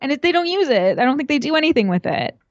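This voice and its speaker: A woman's voice: sits high, big pitch swings, quick pace, dry recording, muffled recording. A woman chatting casually as a podcast guest, giggly, self-mocking and free with swearing. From Canada. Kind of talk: casual chat.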